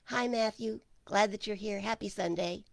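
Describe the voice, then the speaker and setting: Gravelly, hoarse woman minister livestreaming. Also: mid-range voice, moderate pace, no room sound. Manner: warm, chatty, greets viewers by name. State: hoarse, losing her voice. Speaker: minister hosting an online service. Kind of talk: casual livestream chat with viewers.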